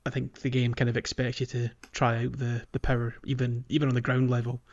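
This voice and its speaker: A man's voice: mid-range voice, completely flat delivery, a little fast, darkish tone, muffled recording. A man hosting a podcast, casually discussing a video game and feeling his way through explanations in a hesitant, meandering manner. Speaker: podcast host.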